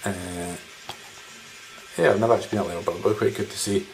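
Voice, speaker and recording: A man's voice: low voice, steady tone, brisk, creaky, poor audio. A man in his thirties giving a rambling, informal, mildly sweary chat to camera as a game collector in a small living room. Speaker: video game collector vlogger. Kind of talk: casual chat showing game pickups to camera. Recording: background noise, room ambience.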